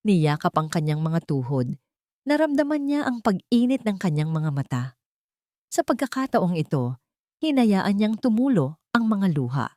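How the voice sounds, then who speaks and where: A woman's voice: lowish voice, warm tone, dry recording, clean studio sound. A woman reading a dramatic romance story as a scripted narration.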